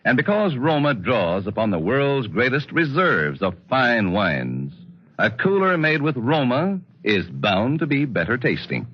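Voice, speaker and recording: A man's voice: mid-range voice, big pitch swings, a little slow, breathy edge. An American man announcing a 1940s radio commercial in an emphatic, polished salesman's delivery. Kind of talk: 1940s radio advertising read. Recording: dull vintage 1940s radio recording.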